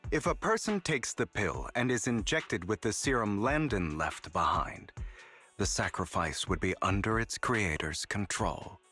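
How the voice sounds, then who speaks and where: An actor playing a suave, scheming male trickster in an adventure game, with polished, deliberate, theatrical delivery. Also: lowish voice, expressive, pushed and bright, soft background music. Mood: grave, explanatory. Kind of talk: video game voice acting.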